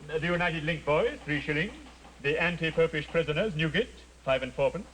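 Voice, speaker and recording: An actor playing an obsequious, precise secretary flattering his lord, in period radio drama style. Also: fairly high pitch, normal speed, slight background noise, lightly acted, rough recording. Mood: smooth, obsequious. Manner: fawning, precise.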